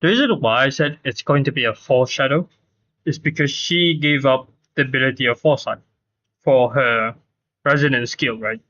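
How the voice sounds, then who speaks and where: A man's voice: mid-range voice, normal speed, a bit bright, muffled recording. A man streaming a game with casual live commentary, explaining his points emphatically and repeating himself.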